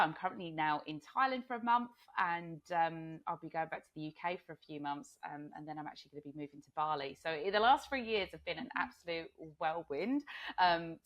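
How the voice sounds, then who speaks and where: A woman from England with a slightly low-pitched voice, audibly hoarse today. She talks in a loose, chatty way, scattering fillers through her sentences and breaking into laughs often as she tells her story. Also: fairly bright tone. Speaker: podcast guest. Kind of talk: casual interview storytelling.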